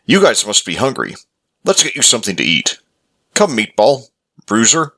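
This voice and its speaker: A man's voice: medium pitch, wildly swooping pitch, moderate pace, pushed and bright, exaggerated voice. A man playing a kind, patient pet owner in a visual novel, speaking in a casual, reassuring manner.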